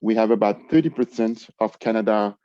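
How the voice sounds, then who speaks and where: A man advising on business development, giving measured, informative answers in a podcast interview. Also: lowish voice, warm tone, no room sound, highs cut off.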